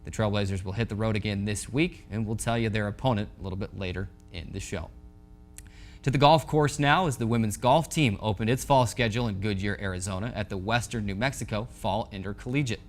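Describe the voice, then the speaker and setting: Young man, sports anchor, lowish voice, scripted delivery, TV studio, faint music. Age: 20s. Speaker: sports show host. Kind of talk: scripted sports news presenting. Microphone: lapel mic.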